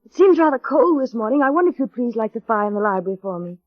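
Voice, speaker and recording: An actress playing a shy, gentle young bride in a 1930s radio drama, polite and wistful. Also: female voice, a little high, big pitch swings, fast talker. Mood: nervous, polite. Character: shy, gentle, nervous young bride narrating her story. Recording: dull, muffled vintage radio broadcast, music.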